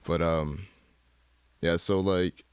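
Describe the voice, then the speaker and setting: A man's voice: low voice, steady tone, slow pace, smooth, no echo. An American man from Michigan speaking as a guest in a casual interview, rambling in a laid-back, slangy way. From Michigan, United States. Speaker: guest artist. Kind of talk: casual studio interview chat.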